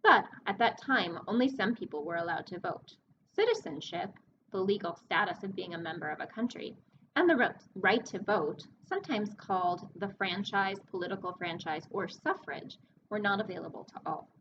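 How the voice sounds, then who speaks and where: A woman librarian reading a picture book aloud to children, expressive and explanatory, pausing to speak to her young listeners. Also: mid-range voice, lo-fi sound, noisy background. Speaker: children's librarian hosting story time.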